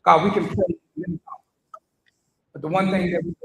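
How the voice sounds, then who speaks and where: A man's voice: big pitch swings, a little fast, gravelly, fairly bright tone. An older man welcoming people to his church and leading an earnest prayer, often repeating his words. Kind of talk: welcome address and spoken prayer. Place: church hall. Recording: echoey church hall, faint rumble.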